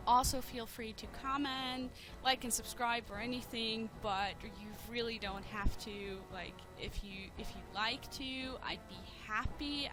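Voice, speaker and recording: A German woman talking casually as a let's player, hesitant and filling pauses with ums and shy little laughs. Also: a little high. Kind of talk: casual gaming commentary. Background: game music.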